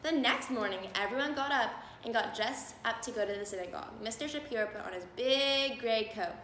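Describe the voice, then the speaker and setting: Female storybook reader, slightly high pitch, children's read-aloud, echoey recording. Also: fairly quick, fairly bright tone. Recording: roomy echo, faint background noise.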